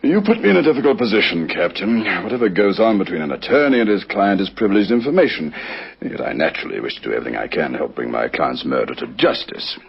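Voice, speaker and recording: Radio drama lawyer: husky, expressive, eloquent and cagey, on a muffled old recording. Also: male voice, low voice, full character voice. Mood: guarded, formal. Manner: deliberate, formal, hedging. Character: large, eloquent male attorney, guarded and worldly. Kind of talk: radio crime drama acting. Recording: dull lo-fi vintage radio recording.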